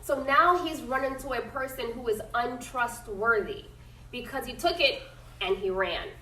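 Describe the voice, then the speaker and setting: Bright high-voiced woman teaching a class. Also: normal speed, a little hiss or hum. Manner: asks the class questions and checks in with "okay?". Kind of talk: classroom read-aloud lesson. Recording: echoey classroom from a distance.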